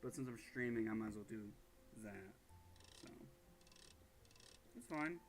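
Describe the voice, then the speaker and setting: A man streaming a video game, chatting casually and muttering in a low-key, offhand way. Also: lively intonation, measured pace. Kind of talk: casual livestream chat over gameplay. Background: game music.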